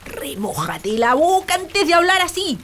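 Radio comedy: high, animated Chilean rural wife and mother played by an actress, nagging and exaggerated. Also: a little slow, slight background noise, full character voice. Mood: scolding. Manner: scolding, exaggerated, colloquial. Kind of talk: comic radio drama acting.

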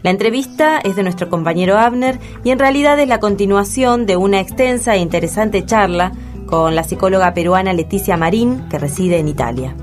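A woman's voice: medium pitch, faint music behind. Woman presenter reading a radio intro. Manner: clear, measured presenter reading. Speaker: radio program host.

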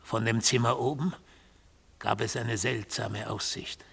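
Gravelly, enigmatic accused man. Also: mid-range voice, fairly even intonation, a little slow, fairly bright tone, heavily acted. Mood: measured, evocative. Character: enigmatic accused man, coolly justifying his deeds. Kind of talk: literary radio play acting.

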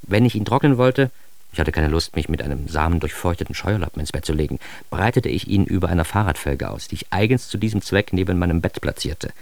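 A man's voice: low voice, lively intonation, fast, dry recording. An actor playing a grown son narrating his embarrassed adolescence in a radio drama, with an ironic, mock-formal, theatrically indignant delivery. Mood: ironic, self-mocking.

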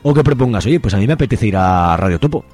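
A man's voice: lowish voice, animated, fast talker, a little mellow. A man with an accent from Spain presenting a radio show in a casual, playful, colloquial way. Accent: Spanish, from Spain. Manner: chatty, colloquial, joking.

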